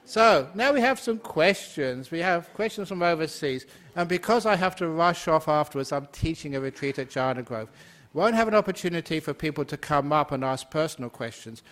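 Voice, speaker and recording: A man's voice: a little high, lively intonation, brisk, hardly any pauses, fairly bright tone. Older man, monk leading Q&A, raised voice, laughs a lot, chatty. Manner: jokey, laughs often, chatty with fillers. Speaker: Buddhist monk and teacher. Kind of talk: Dharma talk Q&A.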